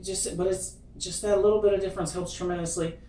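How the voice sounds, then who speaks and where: A woman's voice: on the low side, fairly even intonation, dark tone. A woman hosting a casual chat who speaks hesitantly, restarting sentences and searching for words. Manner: halting, hesitant, restarts and fillers. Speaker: host. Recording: roomy, distant mic, faint noise.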